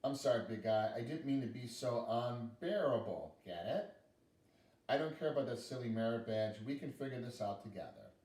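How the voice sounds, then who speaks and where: Give me a man doing an earnest little girl scout, a touch higher and louder, in a roomy space. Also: lively intonation, moderate pace, distant mic, lightly acted. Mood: warm. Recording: echoey room, voice from afar.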